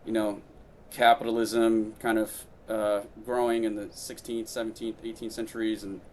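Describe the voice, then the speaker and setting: A man's voice: on the low side, level tone, normal speed, a little mellow. Rambling man with faint background hiss. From Brazil. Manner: rambling, many fillers and restarts. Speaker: podcast guest, founder. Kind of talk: casual interview chat.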